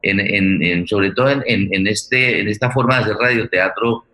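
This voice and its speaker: A man moderating a forum conversationally, halting and repeating words as he forms his thoughts. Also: on the low side, sharp tone. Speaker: forum host.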